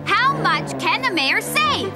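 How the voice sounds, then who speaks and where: A woman's voice: extremely high pitch, very animated, slow pace, full character voice. A woman playing a bossy young witch girl acting as a strict teacher in a cartoon, commanding and theatrical. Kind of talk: animated cartoon dub acting. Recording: loud music under the voice.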